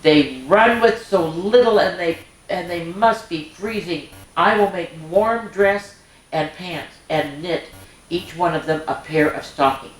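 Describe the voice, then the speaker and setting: A woman reading the part of a shoemaker's kindly, grateful wife from a storybook in an earnest, gentle manner. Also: lowish voice, a little slow, distant mic, natural voice. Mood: grateful, caring. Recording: echoey room with background music.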